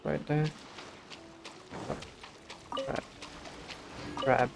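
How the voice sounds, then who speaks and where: A man's voice: a little high, sing-song, drawn-out words, creaky. A man streaming a video game, giving casual, slangy live commentary in a laid-back way. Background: game music.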